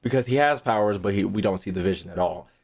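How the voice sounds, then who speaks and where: A man's voice: on the low side, fast talker, gravelly, a little mellow. A young American man streaming a game, chatting casually with slang and swearing, often rambling and repeating himself. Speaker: gaming streamer. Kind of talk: casual, profane game reaction chat.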